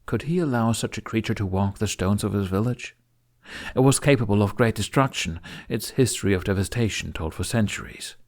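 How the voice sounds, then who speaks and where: A man's voice: on the low side, a little fast, vocal fry. A man reading scripted fantasy fiction as a dramatic audiobook narrator.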